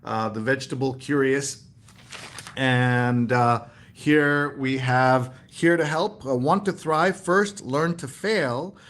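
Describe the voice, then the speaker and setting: A man hosting a live newspaper read-along, reading headlines aloud and commenting casually in a halting, think-aloud way. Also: mid-range voice, fairly bright tone.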